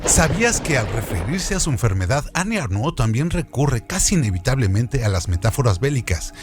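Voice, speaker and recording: A man's voice: medium pitch, darkish tone. A man reading a scripted literary essay in a formal, didactic manner, as a radio presenter. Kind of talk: scripted literary essay narration with question hooks. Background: background music.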